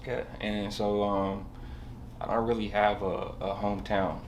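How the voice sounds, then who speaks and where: Low-voiced young SoCal man, noisy recording. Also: flat delivery, a little slow. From Southern California, USA. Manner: slangy, rambling, hesitant, punctuated with bro and you know. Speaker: podcast guest, barber. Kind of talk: casual chat, telling life story.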